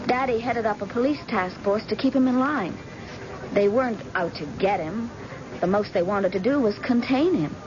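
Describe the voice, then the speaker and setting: An actress playing a grave, bitter, guarded grown daughter in old-time radio drama, speaking in a deliberate, solemn way. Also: female voice, medium pitch, natural voice. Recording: dull, noisy old radio broadcast.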